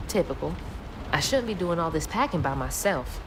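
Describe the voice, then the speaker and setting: Young southern gal in a game, stubborn but sentimental, wry casual talk, noisy ambience behind. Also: female voice, deep voice, animated, moderate pace. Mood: wistful, wry. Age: young adult. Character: headstrong young Southern woman, sentimental, exasperated daughter. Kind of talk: naturalistic game cutscene acting.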